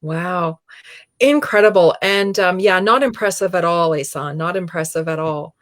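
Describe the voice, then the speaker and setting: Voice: mid-range voice, normal speed, a bit bright. An interview host with a mid-range voice speaks effusively and with enthusiasm, full of praise. The talk is loose and full of fillers, over a video call. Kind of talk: business interview hosting.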